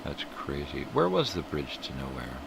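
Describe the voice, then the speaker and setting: A man's voice: very deep voice, wildly swooping pitch, normal speed. An older man hosting a live stream, rambling through casual, profane tangents while sniffly from a cold. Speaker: livestream host. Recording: clear background hum.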